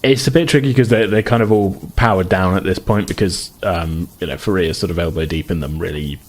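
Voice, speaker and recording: A man's voice: low voice, fairly quick. A man running a tabletop game as game master, explaining things in a hesitant, conversational way. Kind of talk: improvised tabletop game narration.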